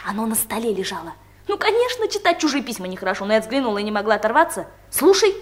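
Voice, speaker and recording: Office secretary, female: gossipy, chatty, high-pitched, radio-play comedy style. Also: very animated, quick pace, slight background noise. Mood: gossipy, excited. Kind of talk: comedic audio drama dialogue.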